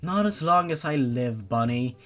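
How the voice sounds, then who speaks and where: A man's voice: mid-range voice, lively intonation, a little slow, fairly bright tone, soft background music. A man voicing a fierce, doting, protective older sister in a storybook reading, earnest and solemn. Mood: tender reassurance.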